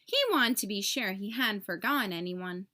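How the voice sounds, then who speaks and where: A woman narrating a children's storybook aloud in an expressive, clearly phrased way. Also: medium pitch, normal speed.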